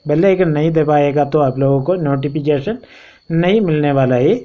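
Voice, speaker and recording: A man giving an informal step-by-step repair tutorial, explaining in a halting, repetitive way. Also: on the high side. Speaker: phone repair instructor and channel host. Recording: dull lo-fi home recording.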